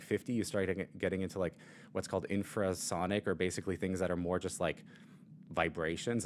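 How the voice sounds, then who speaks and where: Man with a low, deep voice, casual tech demo host talking through settings at his desk, lots of "like" and "you know". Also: slight background noise. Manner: casual, explanatory, many fillers. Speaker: product demo presenter. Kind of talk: live product demo and Q&A. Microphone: studio mic on shock mount and arm.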